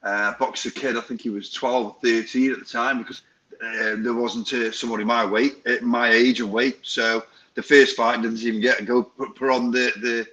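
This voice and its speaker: A man's voice: mid-range voice, little pitch movement, normal speed, pushed and bright. Rambling man on a dull call line. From Stoke-on-Trent area, England. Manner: rambling, informal storytelling with many restarts. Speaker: guest, bare knuckle boxing promoter.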